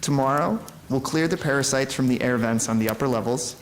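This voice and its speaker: A man playing an older, wise and patient station caretaker in a sci-fi audio drama, measured and philosophical. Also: medium pitch, a little hiss or hum, natural voice, rough recording. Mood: serene, gentle.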